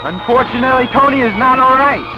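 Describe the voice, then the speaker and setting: Male narrator for amateur horror audio drama: high-pitched voice, earnest dramatic reading, loud music underneath. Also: quick pace, muffled recording. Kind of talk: dramatic scripted horror story narration, speaking character lines himself.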